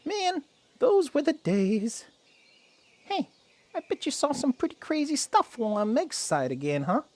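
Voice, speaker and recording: A woman's voice: high voice, very animated, measured pace, darkish tone. A woman voicing a cheeky male rat robot warrior in a cartoon-based radio drama, teasing in slangy banter. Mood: nostalgic, joking. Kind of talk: animated-series radio drama acting.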